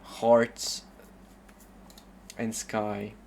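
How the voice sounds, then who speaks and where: A man's voice: lowish voice, monotone, extremely slow, vocal fry, darkish tone, slight background noise. A man from the southern suburbs of Paris with a French accent, casually commenting while playing a game and thinking aloud with lots of hesitant fillers. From southern suburbs of Paris, France. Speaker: streamer.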